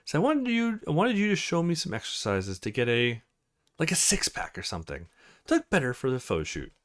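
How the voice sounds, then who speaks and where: A man's voice: medium pitch, huge pitch swings, brisk. A man voicing a playful, easily flustered young woman from a visual novel game. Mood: sheepish.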